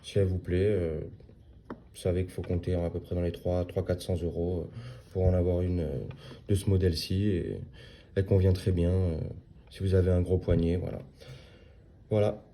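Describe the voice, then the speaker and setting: A man in his thirties with a low, dark, gravelly voice talks through a watch unboxing in a casual, halting way, full of small fillers and restarts. A faint hiss of noise sits under the recording. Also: little pitch movement. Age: 30s. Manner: hesitant, lots of fillers and restarts. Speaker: watch reviewer.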